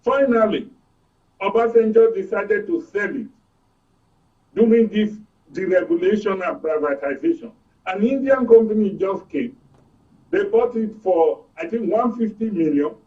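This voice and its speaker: A man with a Nigerian accent giving explanatory commentary as an expert guest, in short, emphatic statements. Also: a little slow. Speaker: oil and gas expert guest. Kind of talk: explanatory political and economic commentary. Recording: distant, dull low-fidelity sound.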